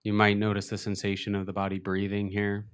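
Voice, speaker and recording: A man leading a beginners' body scan meditation, speaking slowly and gently with long pauses. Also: fairly low pitch, monotone, clear, resonant tone. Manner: slow, gentle, unhurried guidance with long pauses. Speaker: meditation teacher. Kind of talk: guided meditation.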